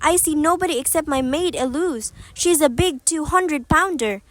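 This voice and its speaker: A high, close and dry voice from a woman playing a bedridden invalid wife in a radio drama. She is nervous and self-pitying, complaining imperiously about everyone around her. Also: normal speed, no echo, natural voice. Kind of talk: amateur radio play acting.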